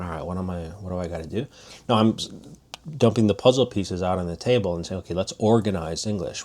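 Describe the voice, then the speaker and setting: A man's voice: lowish voice, a little mellow. A man giving a loose, casual spoken language lesson, often restarting his sentences. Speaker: language teacher.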